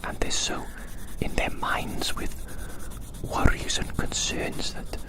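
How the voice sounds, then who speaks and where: A man speaks entirely in a breathy whisper, as in an ASMR roleplay. His manner is gentle and soothing, like a friendly caretaker. He takes his time and softly repeats short phrases. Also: slow pace. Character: gentle giant human befriending a little creature. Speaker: ASMR performer. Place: outdoors in the grass.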